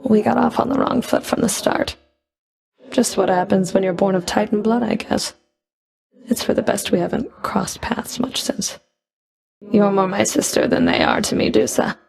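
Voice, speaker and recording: A woman playing a stern, proud female Fury of the Greek underworld in a video game, speaking in a cool, clipped, disdainful way with a gravelly edge. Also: mid-range voice, big pitch swings, normal speed, darkish tone. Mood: guarded, candid. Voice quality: gravelly, creaky. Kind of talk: scripted video game character dialogue.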